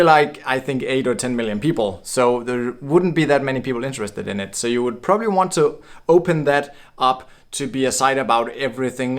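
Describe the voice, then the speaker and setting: A man from Denmark giving a casual, upbeat explainer to camera, chatty and chuckling now and then. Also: medium pitch. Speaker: blogging course creator.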